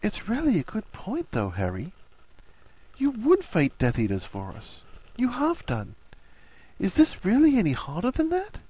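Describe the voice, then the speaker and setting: A man plays a young wife in a story reading, her voice lifted high and swooping expressively. She is earnest and gentle, coaxing and reassuring as she speaks. The sound is dry and a little dull. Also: huge pitch swings, measured pace, breathy edge, dry recording, full character voice. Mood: coaxing, puzzled.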